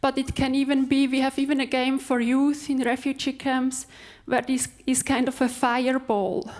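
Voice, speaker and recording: A woman presenting at a workshop, quickly explaining with examples. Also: high voice, level tone, darkish tone.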